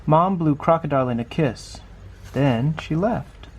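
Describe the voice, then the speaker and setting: A man's voice: a little high, expressive, unhurried, slight background noise. A man reading a children's picture book aloud, adding small conversational asides as he goes. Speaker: storybook reader.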